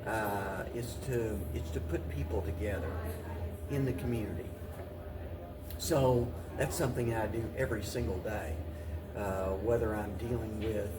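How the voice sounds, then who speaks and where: A man speaking as an interview guest and city mayor, giving halting, measured answers and often repeating phrases. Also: fairly low pitch. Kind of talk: talk show interview answers. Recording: distant mic, clearly noisy room.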